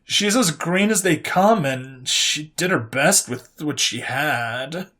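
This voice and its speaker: A woman's voice: deep voice, lively intonation, slow, a bit bright, exaggerated voice. A storyteller voicing a young woman private who is earnest and slightly hesitant but stands her ground. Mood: defending.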